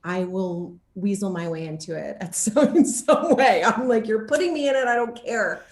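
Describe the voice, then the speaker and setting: A woman's voice: medium pitch, normal speed, reverberant. A woman chatting casually as an interview guest, gushing and emphatic in her enthusiasm.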